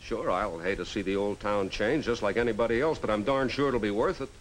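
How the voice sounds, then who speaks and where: Folksy low-voiced small-town mayor played by a man. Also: fairly quick, lightly acted. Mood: earnest, persuasive. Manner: earnest, folksy. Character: civic-minded, persuasive small-town mayor. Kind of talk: 1950s film drama acting. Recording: noisy old 1950s film soundtrack.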